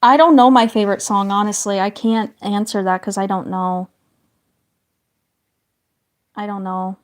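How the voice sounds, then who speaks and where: A woman hosting a relaxed live stream, chatting away to her viewers while she draws. She talks in a meandering way and drifts into soft, quiet asides. Her voice sits at an ordinary middle pitch. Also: unhurried. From northern United States. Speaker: livestream host drawing and chatting with viewers. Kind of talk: casual livestream chat.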